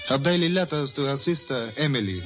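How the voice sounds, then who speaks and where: A man's voice: medium pitch, lively intonation, a little fast, lightly acted. A man narrating a biographical old-time radio drama in a storytelling style. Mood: solemn. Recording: dull old radio recording over music.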